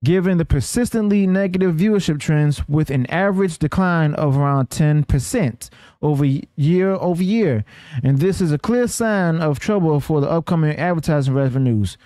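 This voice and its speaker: A man with a slightly high voice hosts a news commentary, recorded dry with very little room sound. He reads partly from notes in a halting way, stumbling and correcting himself. He often punctuates his points with short check-ins to the listener. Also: mellow, dry recording. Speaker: host of a news commentary channel.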